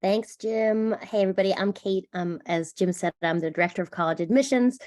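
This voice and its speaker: A woman presenting on college admissions in a webinar, a little stuffy from a cold, chatty and animated. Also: mid-range voice, brisk. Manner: chatty, animated, thinks aloud with fillers.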